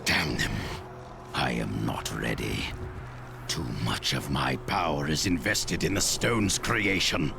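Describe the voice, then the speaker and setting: Deep, slow, gravelly undead sorcerer. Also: male voice, animated, full character voice. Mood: brooding. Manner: slow, grandiose, theatrical. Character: resurrected renegade male sorcerer, arrogant and power-hungry. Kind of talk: dramatic video game acting. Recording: loud music underneath. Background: loud music.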